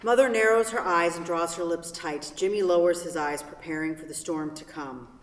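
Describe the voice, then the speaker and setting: A woman serves as narrator and host at a play reading. Her voice is a little low and is picked up from a distance in a very echoey hall. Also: normal speed. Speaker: stage-directions narrator and event host. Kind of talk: scripted narration and hosting. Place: theatre hall.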